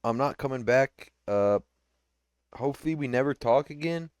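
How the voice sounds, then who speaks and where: A man trading mocking, deadpan taunts on a voice call. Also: medium pitch, moderate pace, clean tone, no echo, studio quality. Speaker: streamer. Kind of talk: trolling banter on a voice call.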